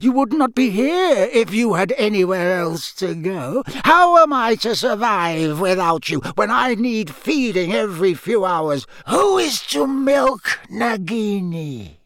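A frail but menacing dark lord from an audiobook. The voice is pitched high, cold and hissing, with slow, imperious contempt in every phrase. The sound is close and dry. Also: male voice, lively intonation, dry recording. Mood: angry, bitter. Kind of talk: audiobook character acting.

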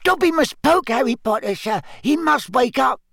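A man voicing a small, eager male house elf in an audiobook, squeaky, earnest and deferential, speaking of himself in the third person. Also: lively intonation, moderate pace, vocal fry, a bit bright, dry recording, studio quality, exaggerated voice. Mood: insistent. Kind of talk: audiobook character acting.

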